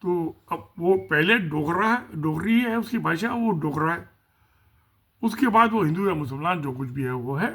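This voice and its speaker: A man's voice: fairly high pitch, expressive, measured pace, a bit bright, husky. A man giving casual spoken political commentary, musing and repeating himself, asking rhetorical questions.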